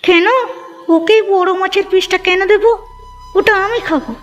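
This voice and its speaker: A woman voicing a sharp-tongued, stingy aunt in a cartoon, scornful and nagging. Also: very high voice, fairly even intonation, normal speed, faint music behind. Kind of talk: Bengali cartoon dubbing.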